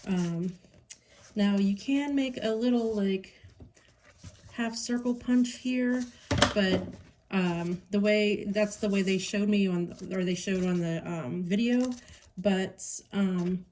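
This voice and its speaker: A woman with a Pittsburgh accent walks through a craft project, taking her time, pausing often with ums and breaking into delighted remarks. Her speech moves slowly. A clear hiss and clicking sit under her voice from the home craft room. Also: mid-range voice. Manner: unhurried, chatty, many ums and pauses, delighted asides. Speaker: craft tutorial host. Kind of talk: casual crafting tutorial.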